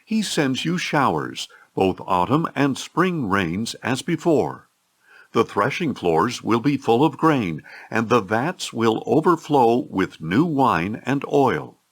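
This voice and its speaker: Slow, expressive male scripture narrator. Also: mid-range voice. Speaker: audio Bible narrator.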